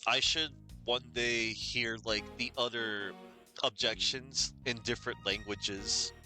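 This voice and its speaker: A man's voice: mid-range voice, steady tone, slow, over music. A man chatting casually while streaming a game, rambling and hesitant with lots of "like", English not his first language. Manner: rambling, hesitant, many "like"s, laughs at himself. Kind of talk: casual live-stream commentary.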